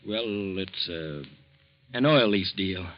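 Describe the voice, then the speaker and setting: A worn-down, henpecked husband who speaks hesitantly in a fairly low voice. The recording sounds dull, like an old radio broadcast. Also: male voice, animated, takes it slowly. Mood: meek. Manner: hesitant and subdued, turning bitter. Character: meek, henpecked middle-aged failed artist husband. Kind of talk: 1940s radio acting.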